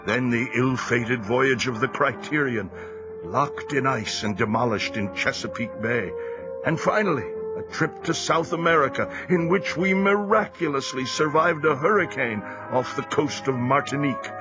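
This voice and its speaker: A man playing a reflective seaman who narrates memories of his life in a measured storytelling manner for an audio drama. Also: mid-range voice, lively intonation, lo-fi sound, natural voice. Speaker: first-person narrator. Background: music.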